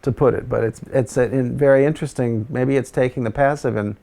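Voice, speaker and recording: A man's voice: medium pitch, steady tone, brisk, gravelly, mellow. A man in casual conversation, rambling and hesitant with frequent fillers, whose first language is Dutch.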